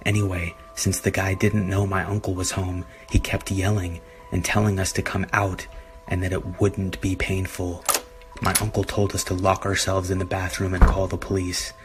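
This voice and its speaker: A man narrating a scripted horror story in a measured, deliberate way. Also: low voice, little pitch movement. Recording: music behind the voice. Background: background music.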